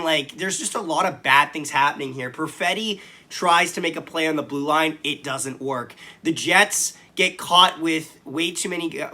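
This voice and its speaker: A man hosts a hockey recap with a fairly high, piercingly bright voice. It has a slight scratch to it. He talks informally and animatedly, restarting his thoughts as he goes. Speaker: sports podcast host. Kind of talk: hockey game recap commentary to camera.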